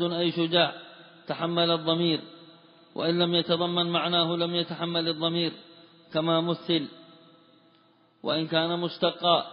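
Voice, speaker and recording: A man reading a grammar commentary aloud to his teacher in a steady chanting cadence. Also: a little high. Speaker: student reading the text aloud. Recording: dull lo-fi recording with long hall reverb.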